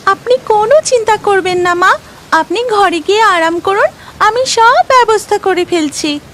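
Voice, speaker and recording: Woman doing a high, sugary, coaxing young wife. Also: normal speed, a bit bright. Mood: reassuring. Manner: coy, wheedling. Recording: music under the voice.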